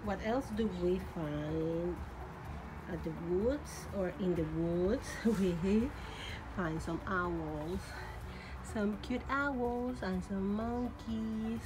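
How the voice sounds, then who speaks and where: A woman showing her doll collection in a bedroom, cooing and gushing in a slow baby-talk way with soft laughs, filmed on a handheld phone. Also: medium pitch, noisy background. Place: bedroom at home. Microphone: phone camera held by the speaker.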